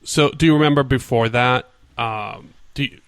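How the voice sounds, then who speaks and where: A man's voice: mid-range voice, normal speed, smooth, no echo. Male podcast host, dry close sound. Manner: curious, asks follow-up questions, some stumbles. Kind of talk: casual interview.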